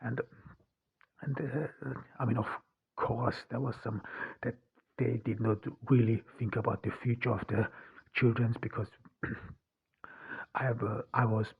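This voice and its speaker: A young man from Austria with an Austrian German accent, hosting a solo podcast and speaking English haltingly, full of fillers and restarts. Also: medium pitch, flat delivery, creaky, breathy edge. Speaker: podcast host. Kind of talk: solo reflective podcast monologue. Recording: dull lo-fi podcast recording.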